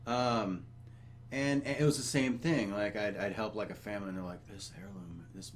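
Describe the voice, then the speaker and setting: Man, lowish voice, sardonic, blunt, sweary gaming podcast chat, some background noise. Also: steady tone, moderate pace. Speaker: video game podcast host. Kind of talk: casual profane chat about games.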